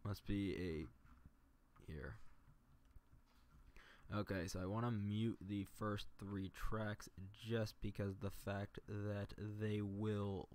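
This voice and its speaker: A man's voice: lowish voice, fairly even intonation, slow, pauses often, darkish tone. A man talking casually on a livestream, explaining his editing as he goes and muttering to himself between explanations. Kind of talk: casual livestream tutorial, thinking aloud while editing.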